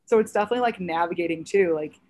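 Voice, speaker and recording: Comedian guest, woman about thirty, raspy and a touch low, loose animated chat. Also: rapid-fire, a little hiss or hum. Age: around 30. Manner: chatty, animated storytelling with many fillers. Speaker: stand-up comedian, podcast guest. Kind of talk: casual podcast chat.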